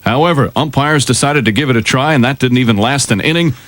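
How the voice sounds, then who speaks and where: A man's voice: mid-range voice, fast, a bit bright. An American man delivering a scripted sports report on morning radio.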